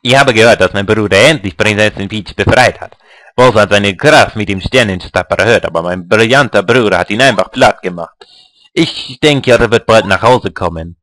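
A man's voice: fairly low pitch, normal speed, fairly bright tone, no room sound, lightly acted. A man voicing a shy younger brother in a video game, reading his diary aloud hesitantly.

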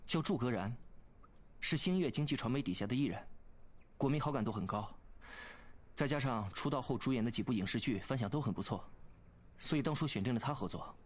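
Guy playing efficient office assistant in audio drama, medium pitch, respectful and businesslike. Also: expressive, a little fast, lightly acted. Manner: deferential, businesslike. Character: polite, efficient young male executive assistant.

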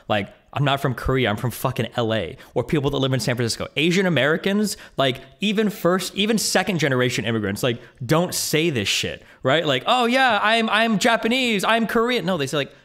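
A man streaming a casual online debate, arguing quickly with profanity and mocking laughs. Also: medium pitch, fairly bright tone. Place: Los Angeles.

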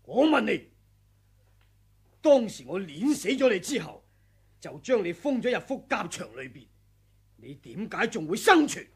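A man's voice: sits high, sing-song, unhurried, long pauses, husky, heavily acted. An actor playing a scheming older martial arts senior, smug, pompous and menacing, taunting his rival in a radio drama. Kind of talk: Cantonese radio drama villain acting.

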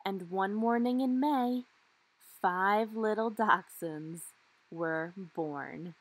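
Husky woman reading a picture book slowly. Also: medium pitch, no room sound, low-quality recording. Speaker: storybook reader. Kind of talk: expressive children's read-aloud narration.

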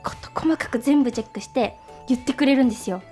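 A woman's voice: sits high, quick pace, mellow. A woman radio host chatting casually, telling behind-the-scenes stories. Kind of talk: casual chat, recounting film shoot stories. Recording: music playing behind the talk. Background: background music.

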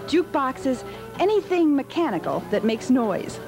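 A woman's voice: sits high, big pitch swings, normal speed, over music. A woman hosting a television documentary in scripted narration, recorded on old, degraded videotape. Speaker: host.

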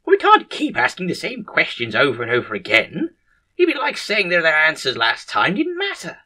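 A man's voice: very high-pitched, wildly swooping pitch, unhurried, bright, forceful tone, voice pushed up, full character voice. A man voicing a flustered, stubborn, eager female head surgeon in a comic story.

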